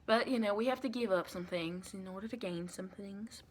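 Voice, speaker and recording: A woman playing a friendly, folksy female vampire in a soft-spoken ASMR roleplay, chatty and reassuring in a rambling, colloquial way. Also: mid-range voice, normal speed, natural voice. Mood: chatty, matter-of-fact. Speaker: ASMR performer.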